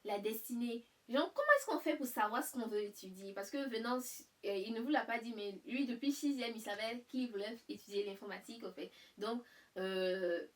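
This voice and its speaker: A young woman hosting a casual interview, asking probing follow-up questions whose pitch climbs as she presses. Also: moderate pace, recorded from a distance. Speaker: host.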